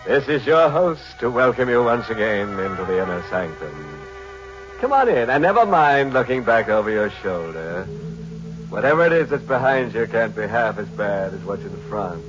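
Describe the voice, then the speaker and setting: An actor playing a macabre, tongue-in-cheek host of an old radio horror show, sly and theatrical. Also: male voice, fairly low pitch, sing-song, normal speed. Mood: gleefully macabre. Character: macabre, tongue-in-cheek male horror host who relishes dark puns. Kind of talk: 1940s radio horror hosting and narration. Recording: dull old radio recording with music.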